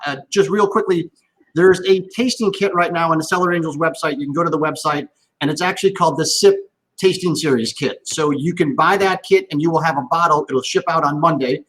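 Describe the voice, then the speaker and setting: A man's voice: on the high side, fairly quick, a bit bright, low-quality recording. A man hosting a live-streamed interview over a video call, upbeat, chatty and jokey. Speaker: host.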